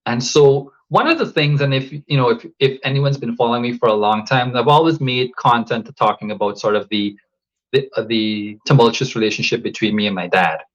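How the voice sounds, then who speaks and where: A man from Guyana, a coach and father, talking reflectively in a podcast interview, measured and thoughtful with many fillers and restarts. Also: mid-range voice, highs cut off.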